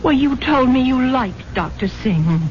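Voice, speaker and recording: Genteel rich American wife, muffled recording. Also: female voice, high-pitched, expressive, a little slow. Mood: indignant. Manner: genteel, earnest. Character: rich, credulous, genteel wife, strong-willed when crossed. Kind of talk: 1950s radio drama acting. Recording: dull vintage radio recording, faint noise.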